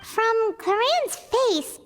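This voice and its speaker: A tiny childlike fairy from a video game, extremely high and squeaky. The naive little creature speaks slowly and with swooping intonation, in a reverberant space. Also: female voice, lively intonation, full character voice. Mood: puzzled. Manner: speaks of self in third person.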